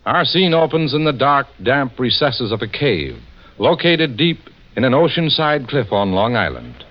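A man's voice: medium pitch, sing-song, unhurried. A man announcing and narrating a vintage radio drama in a formal, dramatic 1940s style. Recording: muffled old radio, music behind. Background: clear music.

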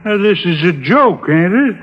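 A police detective who is blunt, gruff and skeptical. The voice sits high for a man. It is fifties radio drama acting on a dull old recording. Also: sing-song, moderate pace, a little hiss or hum. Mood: skeptical, impatient.

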